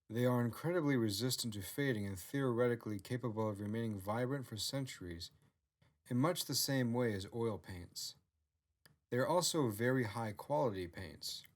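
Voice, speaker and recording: A man reads scripted narration as the author of the written work. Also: medium pitch. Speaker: author narrating own novel.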